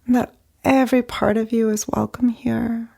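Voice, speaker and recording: A woman leading a guided meditation in a soft, gentle, unhurried way, speaking in short phrases with long pauses. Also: on the high side, level tone, gravelly, slightly rough. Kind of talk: guided meditation, slow and soothing narration.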